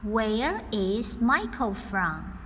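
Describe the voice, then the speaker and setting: A woman reading out a scripted listening test in a deliberate, carefully enunciated dictation style. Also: a little high, sing-song, fairly bright tone. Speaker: English listening test reader. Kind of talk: scripted exam narration, slow and repeated. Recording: long hall reverb, faint background noise.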